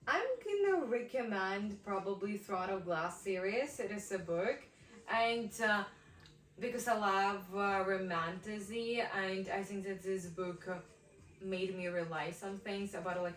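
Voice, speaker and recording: A young woman with a Ukrainian accent, chatting casually and thoughtfully, pausing with many fillers. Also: medium pitch, fairly bright tone, echoey room, recorded from a distance. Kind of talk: casual chat.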